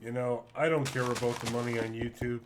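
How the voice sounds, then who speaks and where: A middle-aged man with a deep voice, chatting calmly and casually with viewers on his live stream from home. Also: fairly quick, noisy background. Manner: calm, laid-back, chatty with viewers.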